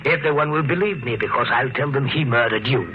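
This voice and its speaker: An actor playing a sadistic, cultured killer in a 1950s radio thriller, with a taunting, deliberate, theatrical delivery. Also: male voice, medium pitch, noisy background, natural voice. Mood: gloating, menacing. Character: sadistic, gloating homicidal maniac, man, cultured killer. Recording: muffled old radio broadcast, music behind.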